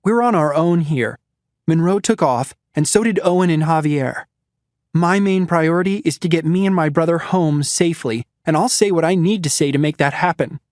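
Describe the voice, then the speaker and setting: A blunt teenage girl, fiercely protective and defiant, as a male narrator acts her out. Her lines rise above his usual pitch and come with extra push, in a dry close recording. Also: normal speed, a little mellow, dry recording, lightly acted.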